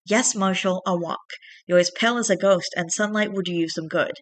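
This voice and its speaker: A woman's voice: lowish voice, brisk, close to an everyday voice. A woman voicing a stern, cold mother with a serious, commanding manner in a story read aloud. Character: stern, cold, controlling mother of a grown son.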